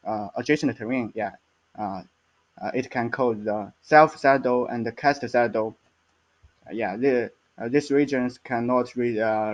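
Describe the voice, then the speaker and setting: Male scientist, mid-pitched, presenting technical slides haltingly; muffled lo-fi recording. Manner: halting, hesitant delivery.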